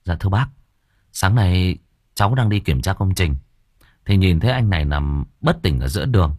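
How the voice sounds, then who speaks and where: Brief: narrator as a courteous young engineer, plain, low, husky. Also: male voice, animated, normal speed, a little mellow, dry recording, clean studio sound. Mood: polite. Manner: respectful, reporting. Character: polite young male engineer.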